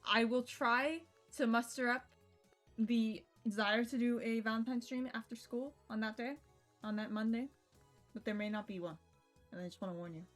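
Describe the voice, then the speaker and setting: Young woman, highish voice, casual joking streamer, rambling delivery, faint game music behind. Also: moderate pace. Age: young adult. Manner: rambling, animated, swearing, joking. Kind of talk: casual live stream chat mixed with reading game text aloud. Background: visual novel game music.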